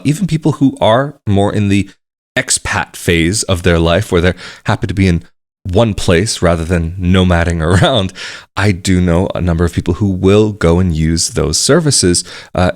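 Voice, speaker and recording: A man talking casually to the camera, explaining things at a measured pace and repeating phrases for emphasis. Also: sits low. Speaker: vlogger.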